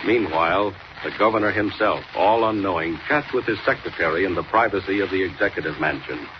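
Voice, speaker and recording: A man's voice: low voice, brisk, lo-fi sound. Dramatic male announcer on noisy old radio. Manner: dramatic, declamatory. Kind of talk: 1940s radio announcing, scripted narration.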